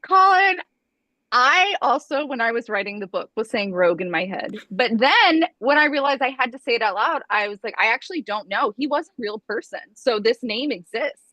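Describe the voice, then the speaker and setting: A woman's voice: a little high, lively intonation, moderate pace, sharp tone, no room sound. American lady author explaining stuff in detail over a video call, dry sound. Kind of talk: casual video call chat.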